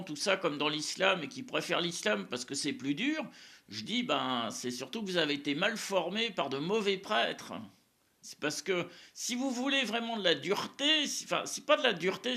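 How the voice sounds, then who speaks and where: Lively gravelly man rambling on faith. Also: high-pitched. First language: Spanish. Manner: rambling, repeats words, frequent "hein" and "euh". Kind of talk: casual religious monologue to camera.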